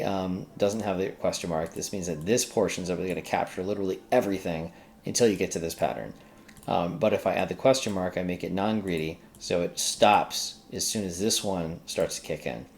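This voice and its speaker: Very deep, gravelly man thinking aloud. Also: a little mellow, a little hiss or hum. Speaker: tutorial host, accountant who codes. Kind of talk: casual screen-recorded coding walkthrough, thinking aloud.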